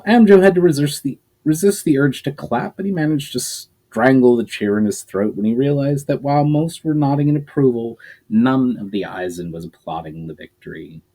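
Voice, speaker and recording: A man reading a fantasy novel aloud as a storyteller, expressive, with occasional slips and restarts. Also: medium pitch, low-quality recording.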